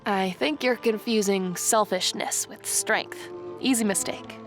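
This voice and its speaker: A woman's voice: mid-range voice, unhurried, soft background music, close to an everyday voice. A woman playing a stubborn, weary, sardonic adventuress in a radio drama, muttering with dry sarcasm. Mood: dry, dismissive.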